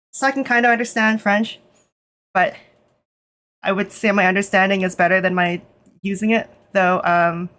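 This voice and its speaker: A Canadian woman streaming in a nervous, casual way, with lots of fillers, switching between English and halting Japanese. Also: medium pitch, fairly bright tone. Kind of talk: casual live stream chat.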